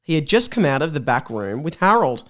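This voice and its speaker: A man's voice: a little high, sing-song, a little fast, fairly bright tone. A man reading a story aloud as a podcast guest, playful and mock-dramatic, breaking off to comment casually.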